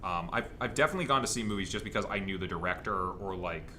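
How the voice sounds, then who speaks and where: A man's voice: sits low, fast, pushed and bright, slight background noise. A man chatting casually as co-host of a group podcast, in a rambling, hesitant way. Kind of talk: casual group chat answering audience questions.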